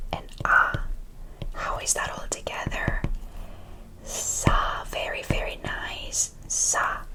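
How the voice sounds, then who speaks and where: A woman giving a slow, whispered ASMR Korean lesson, softly encouraging and praising often, close to the mic. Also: low-quality recording. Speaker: Korean language teacher.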